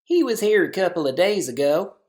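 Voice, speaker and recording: A man's voice: sits high, big pitch swings, brisk, a little mellow. A man playing a simple, agreeable slaughterhouse worker in a comic audio play, folksy and laconic. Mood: mild, agreeable.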